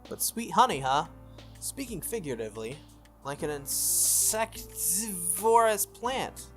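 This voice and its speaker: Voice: medium pitch, sing-song, unhurried, voice pushed up. A let's-play reader voicing a confident, teasing, bossy teenage schoolgirl mage from a visual novel. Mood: explaining. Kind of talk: visual novel dialogue read aloud. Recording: music under the voice.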